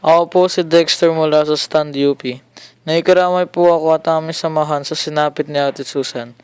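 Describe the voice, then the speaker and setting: A man's voice: a little high, fairly even intonation, normal speed, clean tone, no echo, lightly acted. A young man with a Filipino accent playing an earnest, respectful student activist in an amateur radio drama. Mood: respectful, sympathetic.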